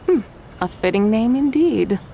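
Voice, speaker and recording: A woman playing a proud, poetic immortal in a video game, playfully teasing, with lofty and measured archaic phrasing. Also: a little high, animated, gravelly, dark tone, a little hiss or hum. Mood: serene, reflective. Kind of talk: video game character acting. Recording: faint music behind the voice.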